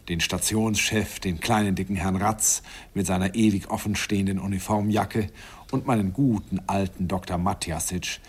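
An actor playing a middle-aged man who wistfully recalls his youth, telling it in the first person in an old radio play. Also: on the low side. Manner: reflective storytelling. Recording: old radio recording, clear background noise.

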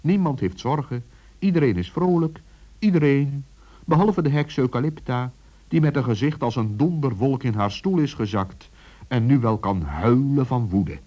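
A man's voice: medium pitch, lively intonation, moderate pace, warm tone. A man narrating a children's story expressively, as a storyteller, on a dull vintage recording.

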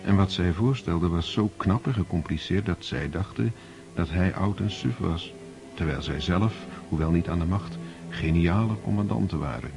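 A man narrating a radio play in a measured, grave storytelling manner. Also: sits low, over music.

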